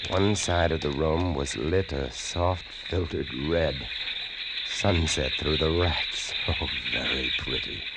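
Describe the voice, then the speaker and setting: A man's voice: rumbling low, slow, very noisy. A man acting as a haunted lighthouse keeper, narrating a vintage radio horror tale in the first person, savoring its gruesome details. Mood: eerie calm. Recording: vintage radio drama with music behind.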